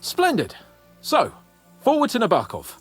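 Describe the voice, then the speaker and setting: Husky, proud young medieval lord. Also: male voice, extremely high pitch, a little slow, soft background music, full character voice. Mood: haughty, defiant. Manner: haughty, swearing, expressive. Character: proud, hot-headed young nobleman in medieval Bohemia. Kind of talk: video game cutscene acting.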